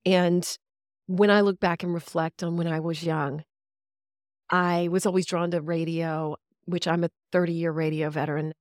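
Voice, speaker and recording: Brief: woman, 50s, from Central Texas, lowish voice, dry close sound, reflective and chatty guest. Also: flat delivery, moderate pace, no echo. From Central Texas, USA. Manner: reflective, chatty, with easy laughs. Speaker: podcast guest, radio veteran. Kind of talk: casual interview storytelling.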